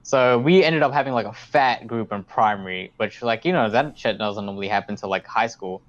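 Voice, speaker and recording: A man's voice: on the low side, a little fast. Rambling New guy, slightly dull audio. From South Auckland, New Zealand. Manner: swears freely, rambling with many fillers. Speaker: podcast co-host. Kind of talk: casual storytelling chat.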